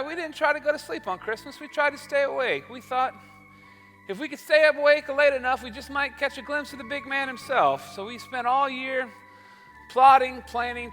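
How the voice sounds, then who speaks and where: A man with an extremely high, bright voice hosts a stage show with comic storytelling. He has a showman's delivery, repeating phrases for effect, with faint music around him. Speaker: show host, comic monologist. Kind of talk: stage comedy storytelling monologue.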